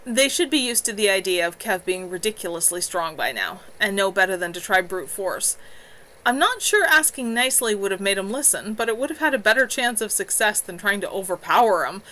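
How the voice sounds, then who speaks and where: A brash young male werewolf who says exactly what he thinks, as a woman reads him. Blunt and a little scornful, with a bright, lively voice. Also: medium pitch, a little fast.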